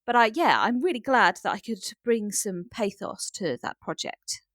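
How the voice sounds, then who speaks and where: A woman's voice: medium pitch, lively intonation, normal speed, fairly bright tone, no room sound, studio quality. A woman playing an earnest, wistful B-movie actress reminiscing hesitantly, as in a mockumentary interview. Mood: wistful, proud.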